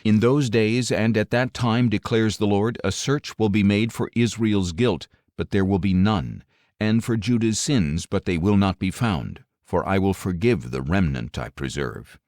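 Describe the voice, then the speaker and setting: A man narrating scripture as an audiobook in a solemn, dramatic style, measured and emphatic, with phrases that fall away at the end. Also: fairly low pitch, a little mellow, no echo, clean studio sound.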